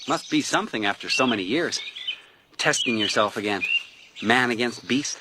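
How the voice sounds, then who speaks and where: An actor playing an inquisitive, self-assured newspaper reporter, pressing and over-familiar, in a detective radio drama. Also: male voice, mid-range voice, lively intonation, moderate pace, very noisy, natural voice. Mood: probing.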